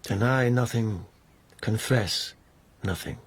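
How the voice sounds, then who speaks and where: Radio drama: persistent, formal police investigator, husky, measured, insistent. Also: male voice, fairly low pitch. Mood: dogged questioning. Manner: deliberate, insistent. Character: dogged, formal police lieutenant interrogating a suspect.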